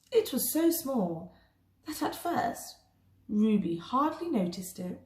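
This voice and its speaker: In an echoey room, a woman reads a picture book aloud for young children. Her pitch swings expressively, and she takes her time, with deliberate dramatic pauses. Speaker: storybook reader.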